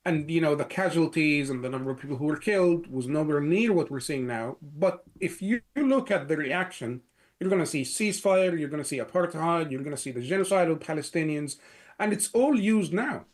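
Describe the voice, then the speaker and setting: A man's voice: on the high side, animated, brisk. A middle-aged man from Egypt, a guest answering interview questions in long runs full of "you know" and restarts. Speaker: guest, security analyst.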